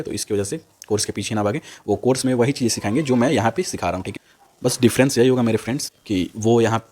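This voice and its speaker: A young man giving an informal, unscripted tutorial, repeating himself and often tagging phrases with a quick check word. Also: lowish voice, darkish tone. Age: young adult. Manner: repetitive, with a frequent "okay" tag after phrases. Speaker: stock trading tutor on YouTube.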